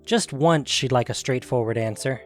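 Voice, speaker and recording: A man's voice: mid-range voice, normal speed, faint music behind. A man narrating a scripted fantasy story as the narrator of an audio production.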